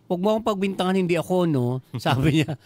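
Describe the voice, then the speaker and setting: A man's voice: high-pitched, animated, fast, no echo. A man with a Filipino accent telling comic stories on a radio show in a chatty, teasing way, acting out the dialogue. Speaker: radio show storyteller and jokester. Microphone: close studio microphone.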